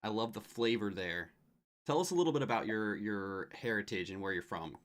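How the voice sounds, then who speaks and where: A man's voice: on the low side, expressive, fairly quick. A man hosting a casual livestream interview, friendly, with stumbling restarts. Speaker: stream host.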